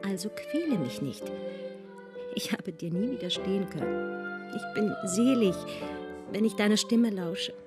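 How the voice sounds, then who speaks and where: A woman plays a married noblewoman speaking to her secret lover in a radio drama. Her medium-pitched voice is intimate, anxious and pleading, with loud music behind it. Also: lively intonation, measured pace, long pauses, natural voice. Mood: anxious, adoring. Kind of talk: German radio drama acting.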